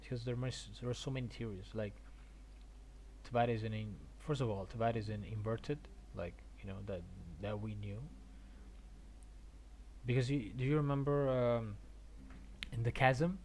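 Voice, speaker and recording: A male game streamer from Argentina talks casually in a medium-pitched voice. He rambles and thinks aloud, often doubling back on his words. There is slight noise behind him. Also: fairly even intonation, normal speed, a little mellow. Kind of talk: casual rambling stream chat about games.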